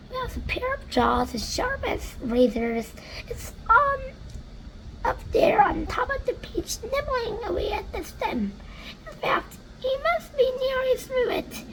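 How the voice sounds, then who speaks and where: A woman voicing a kind, gentle female ladybird in a storybook reading, speaking earnestly in a raised, high voice. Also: lively intonation, measured pace, a little hiss or hum, full character voice, low-quality recording. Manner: earnest, explanatory, rising high in pitch.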